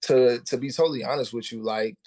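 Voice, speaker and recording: A man's voice: mid-range voice, quick pace, darkish tone, no room sound. A man in his thirties, a musician guest talking casually in an interview, laid-back and slangy, often repeating words as he starts a thought. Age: 30s.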